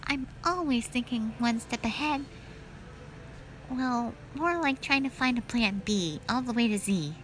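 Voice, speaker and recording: A woman playing a wistful, scheming yet playful witch sister in an audio roleplay, speaking softly and reflectively to the listener. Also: a little high, animated, unhurried, a little hiss or hum, low-quality recording. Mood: teasing.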